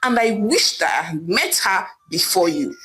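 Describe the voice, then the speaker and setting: A woman voicing a wronged husband who shouts angrily at his wife. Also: medium pitch, lively intonation, moderate pace, close to an everyday voice. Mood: angry. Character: wronged husband, fed up and fuming.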